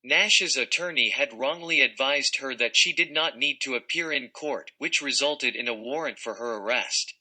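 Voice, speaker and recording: Very bright, forceful male narrator. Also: medium pitch, moderate pace, hardly any pauses, rough recording. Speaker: narrator reading an article. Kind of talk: scripted read-aloud narration.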